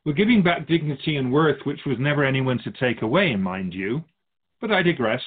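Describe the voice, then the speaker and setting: A man's voice: mid-range voice, animated, fairly quick, slightly rough, phone-quality sound. A man playing an otherworldly keeper of souls in a radio drama, a wise, teasing mentor who muses theatrically in rhetorical questions. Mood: musing. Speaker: spirit guide in a radio drama.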